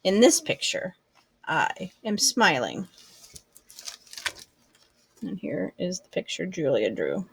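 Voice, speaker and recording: A woman reading a children's book aloud expressively, with deliberate, measured phrasing. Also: on the low side, huge pitch swings, vocal fry, husky. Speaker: storybook reader.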